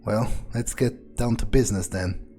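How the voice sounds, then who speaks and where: Man, low pitch, very mellow tone, musing, hesitant, in-character game playthrough, faint music behind. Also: fairly even intonation, moderate pace. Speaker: let's player speaking in character as a vampire.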